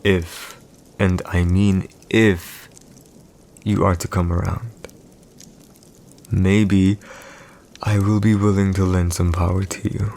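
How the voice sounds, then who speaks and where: A man playing a proud, calculating villain emperor in an audio roleplay, speaking in a measured, commanding way with deliberate pauses. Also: sits low, little pitch movement, darkish tone. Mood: measured, condescending. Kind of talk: scripted boyfriend audio roleplay, slow dramatic monologue.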